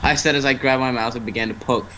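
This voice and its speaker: A man reads a fan story as its narrator. His voice sits at an average pitch, with casual storytelling and occasional stumbles over the script. The amateur stream carries faint noise. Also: steady tone, fast, fairly bright tone. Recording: noisy amateur online stream.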